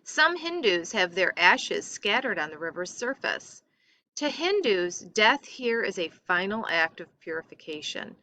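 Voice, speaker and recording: A woman reading a lesson aloud as a teacher, in a careful and deliberate manner. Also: mid-range voice, sing-song, bright, forceful tone. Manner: careful, deliberate reading, stumbles over foreign names. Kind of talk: read-aloud lesson narration.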